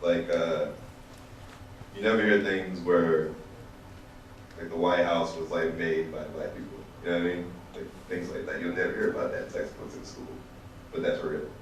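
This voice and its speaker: A young man answers a panel question haltingly, stopping and restarting often. His voice is very low. He sounds far from the mic in a strongly echoing hall. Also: level tone, slight background noise. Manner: hesitant, halting, many fillers. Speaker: student panelist. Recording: echoey hall, distant mic.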